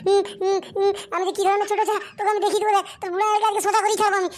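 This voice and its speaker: A man's voice: very high voice, completely flat delivery, very fast, a little hiss or hum, pitched above the performer's own voice. A man voicing a cheeky, foul-mouthed, whiny little boy in a comic cartoon. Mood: whining, tearful. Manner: brash, whining. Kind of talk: amateur comic cartoon dub.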